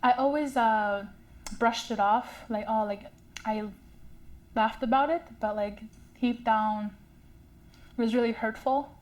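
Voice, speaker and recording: A young woman who stutters, sharing a personal story in a slow, halting way with fillers and repeated sounds. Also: fairly high pitch. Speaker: community member sharing a story. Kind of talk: personal testimony.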